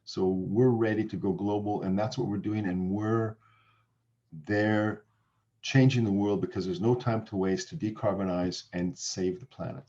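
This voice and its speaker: A middle-aged Canadian man with a Canadian accent, answering seminar questions over a video call, halting with frequent restarts. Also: on the low side, steady tone, warm tone. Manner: halting, many restarts and fillers. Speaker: company founder presenting. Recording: dull lo-fi video call audio.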